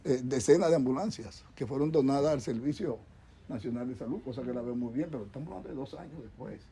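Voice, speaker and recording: A man's voice: mid-range voice, lively intonation, fast talker, dark tone, slight background noise. A middle-aged man with a Dominican accent, a doctor speaking as a guest on a talk show panel, rambling with many restarts and repeated words. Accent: Dominican Spanish. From Dominican Republic.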